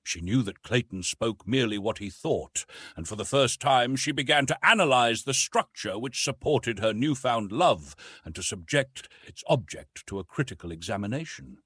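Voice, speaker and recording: A man narrating a classic novel in an expressive, literary storytelling style. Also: medium pitch, moderate pace, slightly rough, no echo. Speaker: audiobook narrator.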